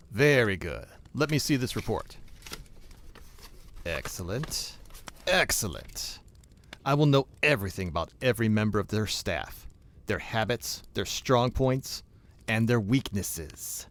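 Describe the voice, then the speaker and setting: An actor playing a scheming, commanding crime boss with a gloating, menacing manner in a superhero audio drama. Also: male voice, lowish voice, animated, slow, fairly bright tone. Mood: gloating, sinister.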